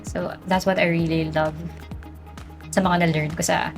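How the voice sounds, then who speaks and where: A woman's voice: fairly low pitch, little pitch movement, normal speed, faint music behind. A woman with a Filipino accent in casual, reflective talk as a podcast co-host, mixing English with Tagalog. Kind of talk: casual reflective talk about personal experience.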